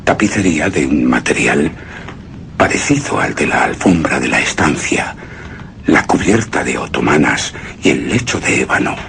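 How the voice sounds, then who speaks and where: A man's voice: extremely low pitch, vocal fry, fairly bright tone, a little hiss or hum, exaggerated voice. A man playing a brooding, obsessive widower who narrates his haunted past in solemn, theatrical radio horror style. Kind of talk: dramatic literary narration, radio horror drama.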